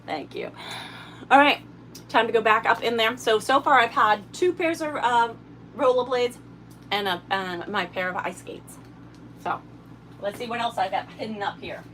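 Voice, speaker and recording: A woman hosting a casual livestream while tidying her RV, a little hoarse from a summer cold, chatting and thanking viewers by name. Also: on the high side, normal speed, long pauses, fairly bright tone, slight background noise. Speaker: livestream host. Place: inside an RV.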